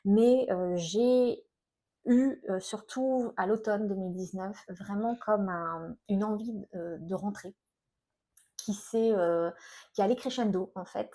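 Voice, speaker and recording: A middle-aged woman with an accent from France, talking informally to her viewers in a personal vlog, often pausing to find her words. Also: mid-range voice, dark tone. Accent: French from France. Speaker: self-employed energy healer and coach, video host.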